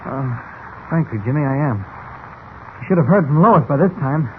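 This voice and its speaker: An actor playing a calm, decisive newspaper reporter with brisk authority in an old radio serial. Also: male voice, on the high side, natural voice. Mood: calm, reassuring. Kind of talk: 1940s radio serial acting. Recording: tinny, band-limited old radio with hiss.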